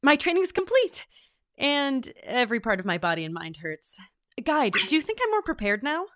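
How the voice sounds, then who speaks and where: A woman's voice: sits high, very animated, moderate pace, background noise. A woman playing an eager, impatient otherworldly agent, wry and informal, in a fantasy audio drama. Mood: wry, tired.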